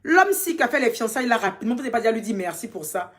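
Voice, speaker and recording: Young woman, Ivorian French accent, casual live Q&A host, teasing and affectionate with fans, soft quiet asides. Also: a little high, big pitch swings, very fast. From Côte d'Ivoire. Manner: chatty and teasing, calls fans "ma chérie". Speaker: social media personality answering fan questions. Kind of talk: casual live Q&A reading viewer comments.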